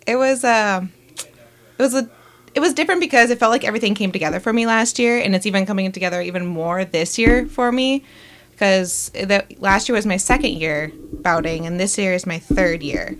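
A woman talking casually as a guest in an interview, bubbly and loud-voiced, often breaking into laughter. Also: mid-range voice, fairly quick. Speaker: roller derby skater, guest.

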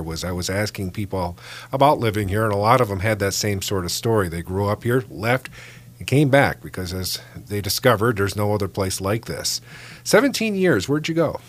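A man's voice: on the low side, lively intonation, fairly quick. A man hosting a local radio interview show in a studio, chatty and friendly with frequent filler uhs. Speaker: radio talk show host.

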